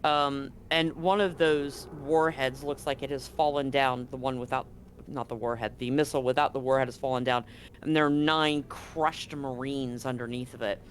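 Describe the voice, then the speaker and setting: A man's voice: medium pitch, steady tone, normal speed, a little hiss or hum. A man narrating as game master in a tabletop game, loose and casual with many fillers and restarts.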